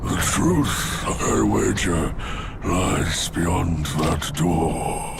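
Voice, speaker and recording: An actor playing a gruff, loyal old warrior who served a king, speaking solemnly and boasting in a game cutscene. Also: low voice, expressive, drawn-out words, very noisy, exaggerated voice. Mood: grieving solemn.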